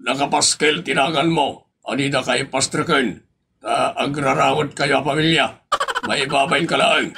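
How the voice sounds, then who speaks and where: A man's voice: mid-range voice, fairly even intonation, unhurried, gravelly, background noise. A man with an Ilocano accent acting as a stern, officious gate guard in a comedy audio drama, speaking bluntly.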